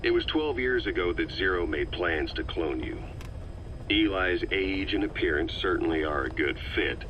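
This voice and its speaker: Calm calculating army intel vet for a game, really deep, measured and thoughtful, slight tape noise. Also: male voice, low-quality recording. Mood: reassuring. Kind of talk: video game dialogue on audio tape. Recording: cassette recording with background noise.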